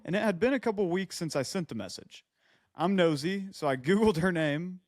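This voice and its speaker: A man's voice: sits high, animated, brisk, a little mellow, no echo, clean studio sound. A man co-hosting a casual comedy podcast, bantering and reading aloud, breaking off into quips and asides. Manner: interrupts his own reading with quips and asides. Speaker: podcast co-host.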